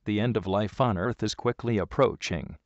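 A man's voice: fairly low pitch, normal speed, dull audio, background noise. A man reading a scripted emergency news bulletin as an announcer.

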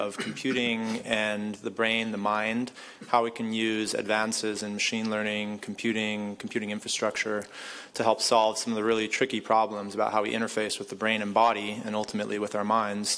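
A man in his thirties, a neuroscientist introducing himself in a relaxed way on a conference panel into a table microphone. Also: on the low side. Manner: relaxed, reflective, fillers. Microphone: table mic on stand.

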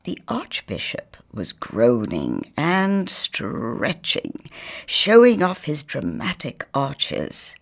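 A woman in her sixties with a Trinidadian accent, reading a children's story in a deliberate, theatrical manner. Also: rumbling low, huge pitch swings, vocal fry.

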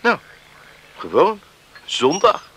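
A man's voice: sits high, wildly swooping pitch, drawn-out words, pushed and bright, a little hiss or hum, exaggerated voice. An actor playing an easygoing young island man in love in a radio play. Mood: evasive, easygoing. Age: young adult. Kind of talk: Dutch radio play acting.

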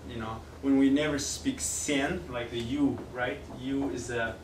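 A man's voice: mid-range voice, fairly even intonation, a little slow. A young man from Kazakhstan with a Kazakh accent, a native Kazakh speaker, talking in a casual, hesitant way with many fillers and restarts as he gives a video lesson. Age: young adult. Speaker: language teacher. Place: classroom with whiteboard, indoors. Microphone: far camera. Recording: echoey room, distant mic, noisy.

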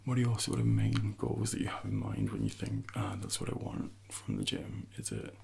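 A man in his thirties speaking softly and gently in an ASMR personal trainer role-play, close to the microphone with unhurried, hesitant pauses. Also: sing-song. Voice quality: soft, breathy, gravelly low voice.

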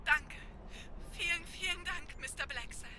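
An actress playing a grateful, gushing married client on the telephone in a noir game. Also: female voice, very high voice, unhurried, crowd noise. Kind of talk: cinematic noir game acting.

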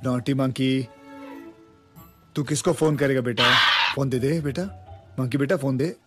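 A man's voice: mid-range voice, fairly even intonation, a little slow, over loud music. A man in comic film dialogue, speaking in a pleading, coaxing way.

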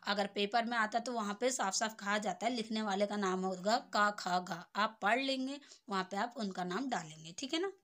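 A woman's voice: mid-range voice, normal speed, few pauses, a bit bright. A young adult woman giving an informal lesson, explaining step by step and often checking that the listener follows. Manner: explanatory, repeatedly checking understanding with tag phrases. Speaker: tutor.

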